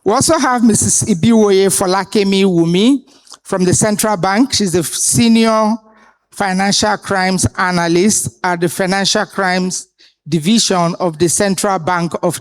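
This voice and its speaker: A woman, moderating a live conference panel and introducing the speakers in a deliberate, authoritative way. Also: mid-range voice. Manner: deliberate, authoritative delivery with pauses. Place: conference hall stage.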